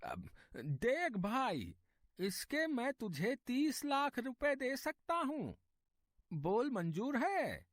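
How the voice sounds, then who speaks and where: Greedy haggling goldsmith for a folk tale, male performer; slow, wheedling, wildly varied pitch. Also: wildly swooping pitch, no room sound, clean studio sound, full character voice. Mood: wheedling, eager. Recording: music behind the voice.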